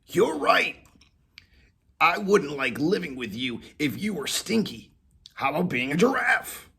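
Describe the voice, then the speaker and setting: A man voicing Molly, a cheerful female collie, in a high squeaky excited voice while reading a storybook aloud. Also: a little slow, creaky, breathy edge, full character voice. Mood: chirpy.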